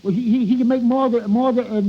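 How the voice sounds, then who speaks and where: An actor playing a timid, flustered little barber who stammers, in 1940s radio comedy. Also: male voice, extremely high pitch, fairly even intonation, quick pace, very noisy. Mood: eager, flustered. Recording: dull 1940s radio recording.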